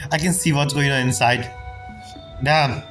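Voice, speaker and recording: A woman streaming a video game with casual, rambling commentary and reactions. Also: low-pitched. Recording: game music behind voice.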